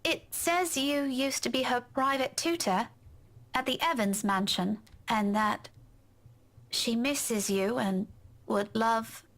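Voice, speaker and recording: A woman's voice: on the high side, slow pace, a bit bright. A woman playing a dutiful young adult daughter in a visual novel, casual and breezy in manner. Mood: sheepish. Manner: casual, hesitant at times, playful.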